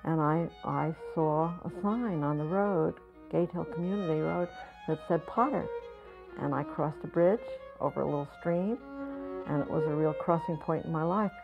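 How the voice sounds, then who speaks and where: Older woman, potter, reflective interview, repeats words, low voice, tinny narrow sound. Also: normal speed, gravelly, over music. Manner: thoughtful, searching for words, repeats herself. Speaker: potter and art school founder. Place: studio or home with pottery shelves.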